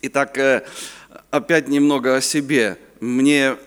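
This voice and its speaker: Ukrainian guy in his 50s preaching, jokey, hunts for words, smooth clear voice, big echoey hall. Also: mid-range voice, steady tone, measured pace, a bit bright. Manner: chatty, joking, searching for words. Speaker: guest preacher. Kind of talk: sermon at a youth conference. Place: conference hall. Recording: reverberant hall.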